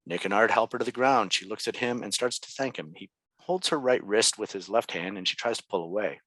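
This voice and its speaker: A man's voice: fairly low pitch, expressive, brisk, bright, forceful tone, dry recording, rough recording. A man reading out scene descriptions as the narrator of a script. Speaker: stage directions narrator.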